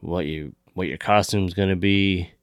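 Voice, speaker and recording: Man, podcast co-host, very low voice, dry close sound, loose sweary chat. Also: fairly even intonation, moderate pace, smooth, dry recording. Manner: informal, swearing, rambling. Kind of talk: casual chat, signing off a show.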